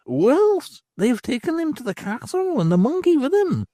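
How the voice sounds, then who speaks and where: A reader voicing an old crone tavern hostess who gloats and gives ominous superstitious warnings in a shrill, cackling voice. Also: female voice, big pitch swings, normal speed, warm tone, no room sound, clean studio sound. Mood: gloating. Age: older. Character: old crone innkeeper, sly and grimly fatalistic.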